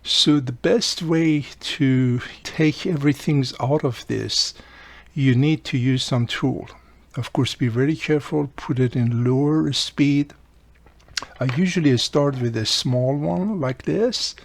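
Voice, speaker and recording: A man casually hosting a hands-on repair tutorial, explaining step by step and repeating key points, with a gravelly, husky voice. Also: mid-range voice, takes it slowly, dry recording. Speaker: radio repair video host.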